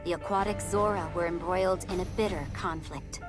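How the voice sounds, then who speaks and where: An actress playing a solemn storyteller, narrating an epic fantasy war chronicle for a video game in a measured way. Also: female voice, lowish voice, fairly even intonation, music in the background, lightly acted. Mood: grave.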